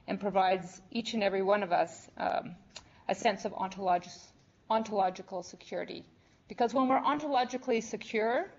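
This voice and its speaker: A woman giving a measured, earnest conference presentation with some fillers. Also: medium pitch, creaky, darkish tone, dull audio. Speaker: conference panelist.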